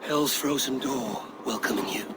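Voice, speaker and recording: An actor playing a steadfast, stern Viking warrior in a video game, speaking in a measured, solemn and formal way. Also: male voice, medium pitch, very noisy, natural voice.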